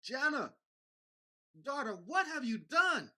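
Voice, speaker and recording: A woman's voice: high voice, big pitch swings, takes it slowly, sharp tone, exaggerated voice. A woman playing an exasperated, stern human father in an audio drama, scolding and emphatic. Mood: angry.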